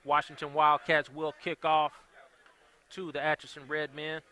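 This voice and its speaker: A young man calling a high school football game play by play, upbeat and quick. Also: completely flat delivery, pushed and bright. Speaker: play-by-play announcer.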